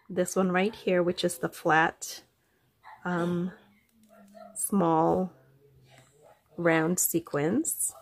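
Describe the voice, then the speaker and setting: A woman's voice: fairly low pitch, gravelly, slight background noise. A woman with a nasal, stuffy voice from allergies, chatting casually as a craft vlogger with many ums. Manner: rambling, many ums, warm and chatty to viewers. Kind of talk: casual show-and-tell chat.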